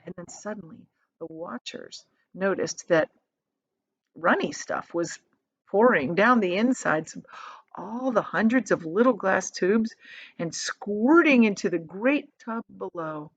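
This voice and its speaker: Female reader for children's book narration: expressive, medium pitch, slightly lo-fi sound. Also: unhurried. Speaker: storyteller reading aloud.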